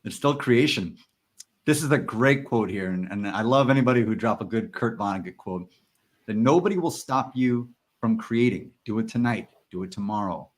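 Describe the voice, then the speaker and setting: A man's voice: on the low side, moderate pace. A man hosting a podcast, speaking earnestly and enthusiastically about ideas. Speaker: podcast host. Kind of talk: casual podcast chat.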